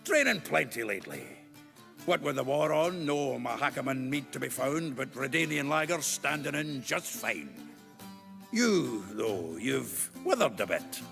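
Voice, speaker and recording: An actor voicing a feisty, foul-mouthed dwarf warrior in a fantasy video game, hearty and blustering. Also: male voice, medium pitch, big pitch swings, measured pace, gravelly, a bit bright, faint music behind, heavily acted. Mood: hearty, jovial.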